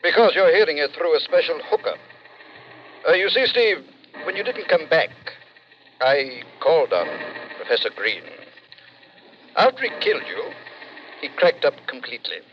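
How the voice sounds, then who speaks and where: A theatrical sly friend in a vintage radio thriller, his medium-pitched voice swinging widely as he reassures and chills. The recording is dull and faintly noisy. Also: sing-song, moderate pace, pauses often. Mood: grimly reassuring. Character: sly, chatty man breaking grim news to a friend. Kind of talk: old-time radio suspense acting.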